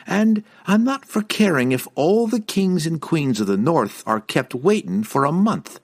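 A man voicing a firm, sharp-tongued young woman in an audiobook, assertive and rustic in her speech. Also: fairly high pitch, big pitch swings, a little slow, pitched above the performer's own voice, full character voice. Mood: firm, defiant.

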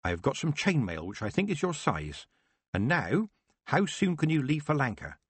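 A man voicing a brisk woman who is a queen and a witch, rallying others firmly. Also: medium pitch, big pitch swings, breathy edge, dry recording, voice pushed up.

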